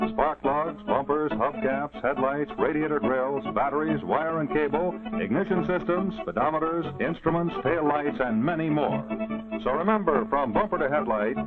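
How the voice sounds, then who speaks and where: A man announcing a sponsor message in formal, declamatory 1940s broadcast style. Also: fairly high pitch. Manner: formal, declamatory sales delivery. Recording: tinny 1949 broadcast soundtrack, loud organ music.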